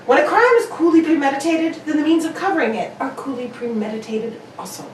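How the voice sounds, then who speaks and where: Woman playing sharp-minded detective, precise n emphatic, high voice, old radio mystery style, kinda far from the mic w some hiss. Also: lively intonation, quick pace. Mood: emphatic reasoning. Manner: precise, probing, theatrically emphatic reasoning. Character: brisk, analytical, confident gentleman detective. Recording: roomy, distant, noisy home recording.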